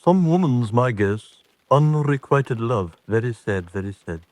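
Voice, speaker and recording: An actor playing a dutiful gentleman's manservant, formal and deferential with a droll streak, in an old-fashioned radio drama. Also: medium pitch, lively intonation, a little slow, dry recording, lightly acted. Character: dutiful, dryly gossipy British gentleman's manservant.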